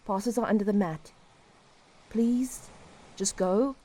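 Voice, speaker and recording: A woman playing a despairing, resigned helpline caller in an audio drama, speaking wearily and tenderly in halting short phrases. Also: mid-range voice, mellow. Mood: bleak, urgent.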